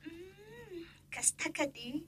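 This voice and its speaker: An actress playing an eager, bubbly young woman in a radio drama, gushing and animated. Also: very high voice, extremely slow, no echo, heavily acted.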